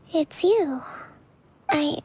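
A woman voicing a gentle, composed young female leader in an anime video game, with a soft, girlish voice and polite manner. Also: very high-pitched, full character voice. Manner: measured, polite, sighing.

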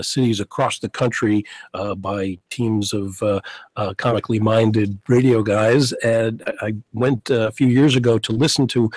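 A man's voice: on the low side, no echo, low-quality recording. Middle-aged male radio interviewer. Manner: expressive, gestures as he talks, some hesitations. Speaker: talk show host. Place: radio studio. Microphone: studio mic, headphones.

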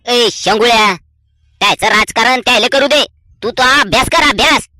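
A skeptical cartoon village dad acted by a man, extremely high-pitched and piercingly bright. He has a rural Maharashtrian accent and a sarcastic, folksy way of hammering words home by repeating them. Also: normal speed, dry recording, full character voice. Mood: scolding. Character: sharp-tongued, skeptical village father, a cartoon commoner. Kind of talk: cartoon comedy voice acting.

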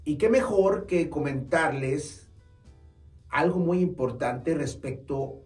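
A man's voice: on the high side, takes it slowly, darkish tone. A man in his forties with a Mexican Spanish accent, an earnest and friendly saxophone teacher who talks to camera with hesitant fillers and restarts, in a small shop studio. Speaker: saxophone teacher and music store owner. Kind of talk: informal instructional talk to camera. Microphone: far camera mic. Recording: roomy, distant camera sound, faint music.

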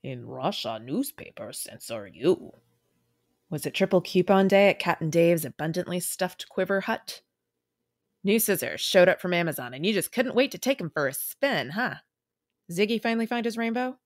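A woman's voice: fairly low pitch, animated, moderate pace, no room sound, studio quality. An actress voicing a cocky young female archer sidekick in a motion comic, sardonic and teasing.

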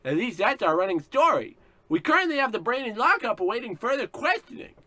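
A matter-of-fact police officer played by a woman in a radio comedy. The voice is high, sharp and animated, with a very dry sound. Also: brisk, no room sound, full character voice. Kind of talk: parody of old radio drama.

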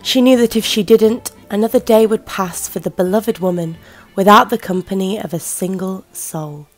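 A woman playing a wry, observant detective narrating a radio crime drama in measured tones. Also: mid-range voice, soft background music, lightly acted. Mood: wry, reflective.

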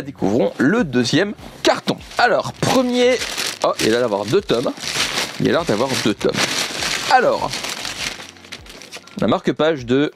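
A man's voice: a little high, noisy background. A young man chatting off the cuff as an enthusiastic vlogger, often repeating himself. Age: young adult. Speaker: YouTuber presenting a manga unboxing. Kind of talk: casual unscripted vlog chat. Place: indoor room with manga shelves.

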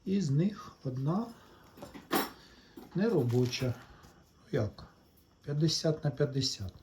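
A man's voice: fairly high pitch, animated, slow pace, noisy background. A man hosting a casual hands-on electronics vlog, talking in short step-by-step remarks and addressing viewers as friends. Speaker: host of a hobby electronics channel.

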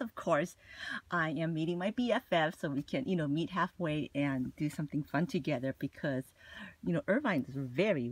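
A woman's voice: low voice, dry recording. A woman vlogging casually about her shopping haul, chatty and gushing, with plenty of "like" and "you know". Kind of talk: casual haul vlog chat.

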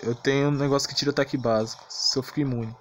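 Brazilian game commentator, mid-pitched, casual and grumbling, light music underneath. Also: fairly even intonation, quick pace. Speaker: gamer, video creator.

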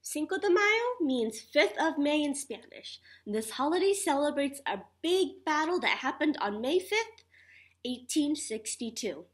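High, animated woman reading to children. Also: unhurried. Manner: animated, instructive, addressing children with questions. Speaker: preschool teacher reading aloud. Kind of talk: children's picture-book read-aloud with sing-song refrains. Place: small indoor classroom.

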